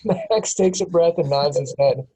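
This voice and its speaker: A man's voice: on the high side, measured pace, mellow, slight background noise, rough recording. A man reading the stage directions aloud at a script table read, plain with small chuckles.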